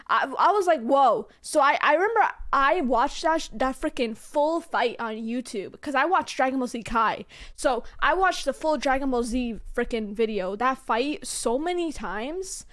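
High-pitched, giggly young woman reacting. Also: normal speed, few pauses. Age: young adult. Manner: giggly, slangy, expressive. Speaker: reaction video host. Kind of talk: casual excited fan chat. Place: small bedroom. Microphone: close desk microphone on boom arm.

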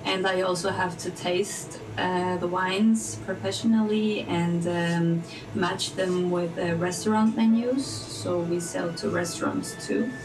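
A woman's voice: fairly low pitch, steady tone, measured pace, music in the background, poor audio. A woman in her twenties, born in Portugal and raised in Switzerland, chatting on video with hesitant ums and giggles. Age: 20s. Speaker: video chat stranger. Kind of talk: casual chat. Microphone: large desk microphone in a home room.

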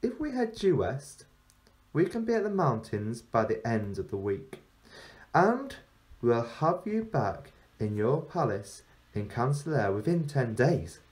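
A man's voice: mid-range voice, big pitch swings, unhurried, darkish tone. A man voicing an eager, chirpy bearded dwarf in a storybook reading.

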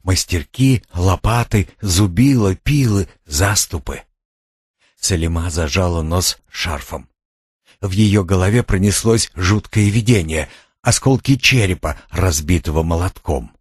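Low, husky male audiobook narrator. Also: lively intonation, measured pace, dry recording, studio quality. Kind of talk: scripted narration of a suspense thriller.

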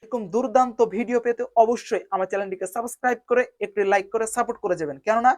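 A woman narrating a step-by-step software tutorial in an instructional manner, spelling out each step in repeated phrasing. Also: on the high side, racing, no room sound. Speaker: video editing tutorial host.

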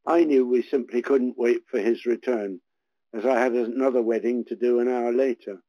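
A man's voice: mid-range voice, moderate pace, no echo, highs cut off. Older man, retired priest telling stories. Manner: gentle, anecdotal and relaxed. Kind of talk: reflective storytelling.

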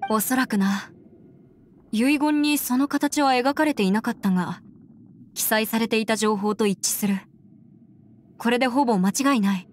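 Casting: actress as brisk-minded young female adviser for anime game, matter-of-fact and formal, slightly high voice. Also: slight background noise, close to an everyday voice. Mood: curious, assured. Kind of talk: Japanese anime game voice acting.

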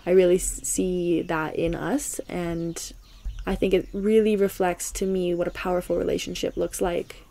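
A woman's voice: on the low side, flat delivery, normal speed, gravelly, warm tone. A woman hosting a podcast, talking casually and eagerly. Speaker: podcast host. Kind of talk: casual chat.

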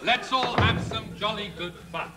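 A man's voice: sits high, measured pace, loud music behind the voice. A middle-aged man playing the straight man in a stage comedy act, bossy and schoolmasterly as he explains. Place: large theatre stage with band behind. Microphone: stand mic and boom. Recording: live stage sound with audience noise.

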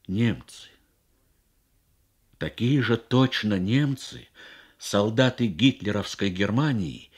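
A man reading literary prose as a dramatic radio narration, in measured, deliberate phrases with pauses. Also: deep voice, husky. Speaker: reader of a short story on radio.

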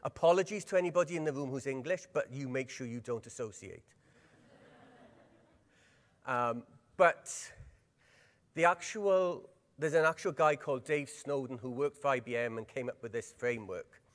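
A middle-aged man with a Welsh accent running a workshop at a conference, lively and engaging, putting questions to the audience. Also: medium pitch, normal speed, long pauses. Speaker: workshop presenter. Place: large conference hall. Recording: long hall reverb.

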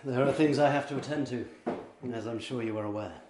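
A man's voice: mid-range voice, fairly quick, background noise, natural voice. Male actor as proud, impoverished English writer for period radio drama; mid-range voice, formal, bitterly dignified. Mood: stiffly defensive. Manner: formal, stiff, wounded dignity.

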